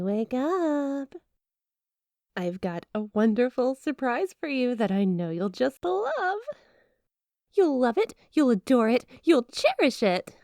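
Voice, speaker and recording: A flirty, possessive snow-magic wife, played by a woman in an intimate ASMR roleplay. Her voice sits fairly high and swings expressively between coaxing sweetness and theatrical drama. The sound is close and dry, as if spoken right to the listener. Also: dark tone, no echo, heavily acted. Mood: coaxing, affectionate.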